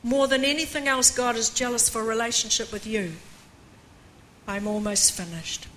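Older woman, preacher, croaky voice, earnest testimony, slightly high pitch, faint background hum. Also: fairly even intonation, moderate pace, a bit bright, low-quality recording. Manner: earnest, reads aloud with rising emphasis. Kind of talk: testimony sermon.

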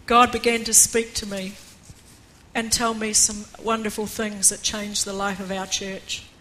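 An older woman preaching a personal testimony in an earnest way, with a croaky voice, reading a passage aloud. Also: mid-range voice, flat delivery, unhurried, bright, forceful tone, slight background noise, rough recording. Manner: earnest, reads aloud with rising emphasis. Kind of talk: testimony sermon.

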